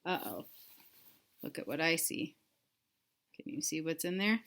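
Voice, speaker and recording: A woman reading a picture book aloud to young children, pausing to explain things simply and ask them questions. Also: deep voice, very animated, gravelly, a bit bright. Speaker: read-aloud storyteller for children.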